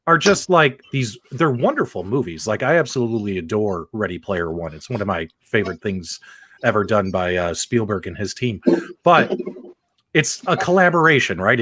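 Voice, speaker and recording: Animated man hosting a live stream. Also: medium pitch. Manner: informal, conversational, addresses viewers directly. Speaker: host of a live panel show. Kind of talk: casual live-stream hosting and chat reading.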